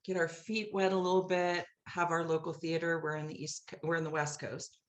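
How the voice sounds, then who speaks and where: A woman's voice: low-pitched, level tone, fairly quick, a little hiss or hum. A woman speaking casually and conversationally as a guest in a podcast interview. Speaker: podcast guest, musical writer.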